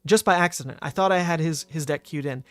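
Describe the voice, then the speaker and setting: Male streamer, hoarse and rambling, a touch high-pitched. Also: fast, dry recording. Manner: rambling and chatty, frequent "uh" and "like". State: hoarse, voice partly gone. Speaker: card game streamer. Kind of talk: casual livestream chat.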